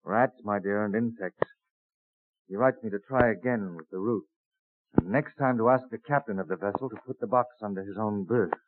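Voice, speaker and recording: An actor playing an eager young Quaker farmer and botanist in an old radio drama, speaking earnestly with thee and thy. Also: male voice, fairly low pitch, moderate pace, natural voice. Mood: rueful. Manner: earnest, enthusiastic, theatrical delivery with archaic thee and thy. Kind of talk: mid-century American radio drama acting. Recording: tinny, band-limited vintage radio recording.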